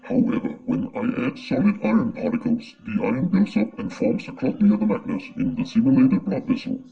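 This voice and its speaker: A man presenting a scripted science explainer, his voice run through a pitch-shifting voice changer. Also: sing-song, brisk, creaky, a little hiss or hum. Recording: muffled, lo-fi voice-changed audio.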